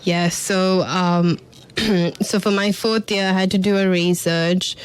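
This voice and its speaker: Low, flat-voiced Sri woman. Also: slow, slight background noise. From Sri Lanka. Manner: steady, explanatory. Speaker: marine biologist guest. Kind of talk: radio interview answers. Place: radio studio.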